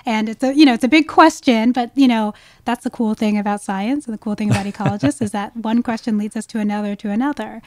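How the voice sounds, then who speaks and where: Woman expert explaining, higher-pitched voice. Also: quick pace, few pauses, a little mellow, dry recording. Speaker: guest expert, wildlife ecologist. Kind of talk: conversational podcast interview.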